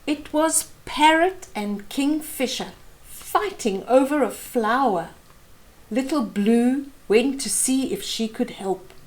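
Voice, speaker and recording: A middle-aged woman reads a picture book aloud for small children. Her fairly high voice swings up and down as she goes slowly, pausing to explain the pictures. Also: lively intonation, poor audio. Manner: deliberate, explaining pictures to young listeners. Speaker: children's storytime host. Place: small indoor room.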